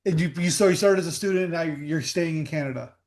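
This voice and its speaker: A man's voice: fairly high pitch, quick pace, darkish tone. A man hosting a podcast interview who talks casually, rambles, and often restarts and repeats his words. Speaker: podcast host.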